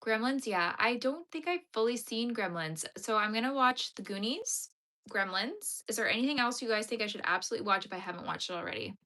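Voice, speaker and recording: A woman's voice: fairly high pitch, animated, fairly quick, sharp tone. A young Canadian woman with a Canadian accent, chatting casually on a livestream, answering viewers' comments while she crochets.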